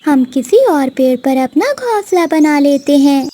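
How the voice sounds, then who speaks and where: A woman's voice: high voice, lively intonation, unhurried, warm tone. A woman voicing a sweet, chatty newly hatched bird child in a cartoon, childlike and pleading. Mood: plaintive.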